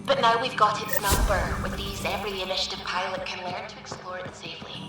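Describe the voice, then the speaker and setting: A woman playing an enthusiastic sci-fi game scientist. Her medium-pitched voice sounds distant and very echoey over music. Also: fairly even intonation, brisk, natural voice. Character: keen scientist charting space, enthusiastic. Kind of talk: dramatic game dialogue.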